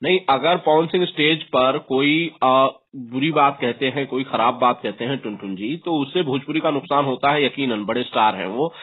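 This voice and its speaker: A man's voice: mid-range voice, moderate pace, few pauses, husky. A man working as a news interviewer, putting formal, pressing questions over a phone call. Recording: thin phone-like sound.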